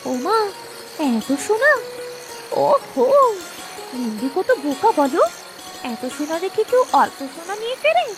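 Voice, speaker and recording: A woman's voice: very high voice, huge pitch swings, unhurried, long pauses, over music, full character voice. A woman playing a greedy, scheming village wife in a fairy-tale cartoon, exclaiming theatrically. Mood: eager, greedy.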